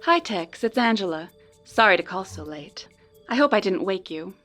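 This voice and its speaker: A woman playing a polite, earnest informant in a noir radio drama, speaking in a measured, precise way. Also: mid-range voice, lively intonation, fairly bright tone, faint music behind, natural voice. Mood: polite, apologetic. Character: polite, earnest female informant helping a detective.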